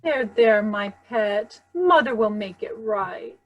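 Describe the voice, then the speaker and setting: Casting: doting, scheming fairy-tale stepmother played by a woman, unhurried, slightly high voice, children's stage play. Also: animated, dull audio. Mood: cooing, scheming. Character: doting, scheming stepmother, female, middle-aged. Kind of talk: children's theater staged reading.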